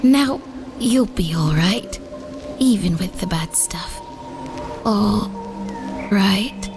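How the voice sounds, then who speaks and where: A woman voicing a gentle, dying young elf girl in a comic dub, murmuring softly and dreamily. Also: medium pitch, lively intonation, drawn-out words. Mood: dreamy, soothing. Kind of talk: dramatic comic dub acting. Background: music.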